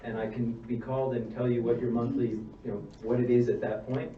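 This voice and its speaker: Funeral director answering questions in a hall. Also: male voice, mid-range voice, flat delivery, fairly quick. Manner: plain-spoken, explaining step by step with restarts. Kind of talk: answering audience questions at a seminar. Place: church hall seminar. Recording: distant mic, roomy hall, dull sound, faint hum.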